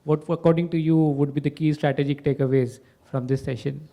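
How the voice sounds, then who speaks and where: Soft-toned male panel moderator, halting. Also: on the high side, steady tone. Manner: hesitant, many fillers.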